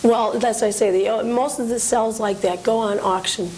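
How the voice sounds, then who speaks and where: A woman's voice: a little high, fairly even intonation, normal speed, darkish tone. A woman speaking conversationally as an interview guest, explaining collectibles in a matter-of-fact, informative way. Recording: hissy 1980s cable TV recording.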